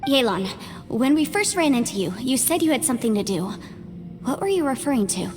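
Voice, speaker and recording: A woman playing an earnest, thoughtful young companion in a video game, with a careful and gentle delivery. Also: sits high, sing-song, brisk. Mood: earnest, uneasy. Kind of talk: dramatic video game voice acting. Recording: long reverberant hall sound. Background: clear background music.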